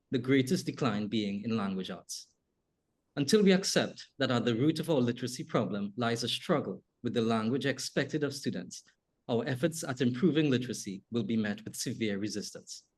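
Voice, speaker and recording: Trinidadian male host, husky, mid pitch, measured scripted delivery. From Trinidad and Tobago. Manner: measured, reads a prepared essay. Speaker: podcast host. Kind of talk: scripted opinion monologue, then interview.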